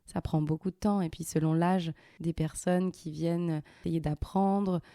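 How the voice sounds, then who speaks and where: Soft-spoken woman in her thirties hosting. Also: fairly low pitch, steady tone, unhurried, no room sound, clean studio sound. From Algeria, raised in France. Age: 30s. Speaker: host interviewing her mother. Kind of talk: casual interview.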